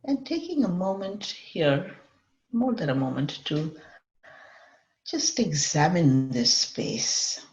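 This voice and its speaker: A woman leading a guided meditation in a measured way, often pausing in the middle of sentences. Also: lowish voice, expressive, a little mellow. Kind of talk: guided meditation, semi-improvised. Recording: dull lo-fi, echoey room.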